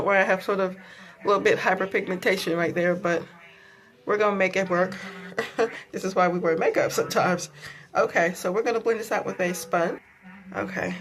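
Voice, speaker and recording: A woman with a sore throat and a stuffy voice, chatting casually through a makeup tutorial with many ums and likes. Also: mid-range voice. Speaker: beauty vlogger.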